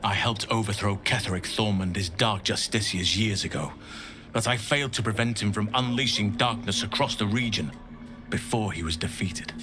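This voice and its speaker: A low male voice gives life to a wise middle-aged druid , acted by a man in a fantasy game. He is courteous and grave, choosing his words in a measured, formal manner. Music plays clearly behind him. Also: close to an everyday voice. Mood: grave, resolute. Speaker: game character voice actor. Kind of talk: scripted fantasy game dialogue.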